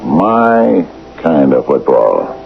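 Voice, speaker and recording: An actor playing a ruthless, hard-bitten football coach in a radio drama, with blunt, mocking, slangy talk. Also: male voice, extremely low pitch, sing-song, drawn-out words, vocal fry, exaggerated voice. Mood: mocking, menacing. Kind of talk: radio mystery drama acting. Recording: dull old radio recording with music.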